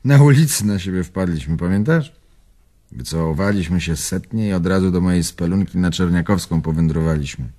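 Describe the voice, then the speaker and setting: An actor playing an old Warsaw tavern owner and spirited war survivor in a radio drama, earthy and raspy, reminiscing in clipped phrases. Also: male voice, sits low, brisk, dark tone. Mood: nostalgic. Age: older. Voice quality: gravelly, raspy.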